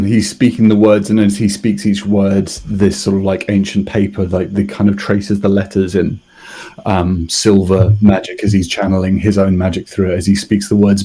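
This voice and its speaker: A British actor narrating his character's actions as a tabletop player, in a halting, hushed manner. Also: deep voice, level tone, a little hiss or hum, rough recording.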